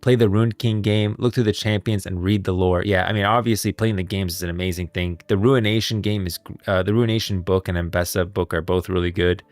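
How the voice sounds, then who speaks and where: A man's voice: sits low, steady tone, a little fast, few pauses, no room sound. A man hosting a casual livestream, talking about game lore in an enthusiastic, emphatic way. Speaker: livestream host and video creator.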